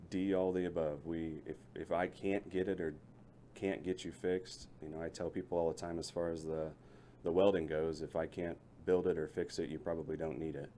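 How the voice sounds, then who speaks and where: A man's voice: deep voice, warm tone, a little hiss or hum. A middle-aged man, a local business owner, chatting in a radio interview in a folksy, rambling way, often restarting his sentences. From Lebanon, Missouri area. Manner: folksy, rambling, self-correcting.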